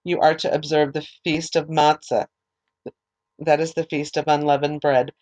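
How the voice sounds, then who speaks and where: A woman leading a Bible study, reading scripture aloud at a measured pace and explaining it in hesitant, unscripted remarks. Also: low voice, little pitch movement, darkish tone. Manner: measured reading with hesitant, filler-filled commentary.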